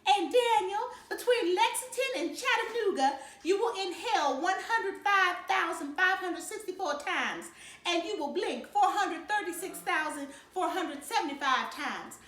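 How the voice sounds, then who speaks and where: A woman voicing a father who mimics a fussy, precise lady in a high Southern accent. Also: expressive, a little slow, a bit bright, heavily acted. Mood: mock pedantic. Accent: put-on Southern US. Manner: high, emphatic, pedantically precise. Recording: roomy, distant mic, faint noise.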